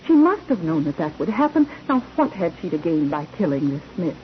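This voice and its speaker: A woman's voice: lowish voice, very animated, a little fast. An actress playing an earnest, well-bred officer's wife in a 1940s radio drama, formal and emphatic as she pleads. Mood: urgent pleading. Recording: muffled, faintly noisy old radio recording.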